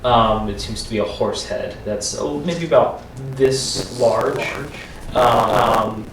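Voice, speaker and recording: A man improvising narration as a tabletop game master, halting and pausing mid-description. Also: mid-range voice. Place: echoey room, live-streamed game session. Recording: roomy, distant mic, noisy background.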